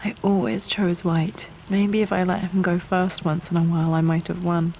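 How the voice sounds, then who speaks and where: Woman acting low, creaky, pensive British adventuress. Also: flat delivery, normal speed, slight background noise, natural voice. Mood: wistful. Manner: quiet, reminiscing. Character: pensive young adventuress looking back on her childhood. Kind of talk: dramatic voice acting in a video game.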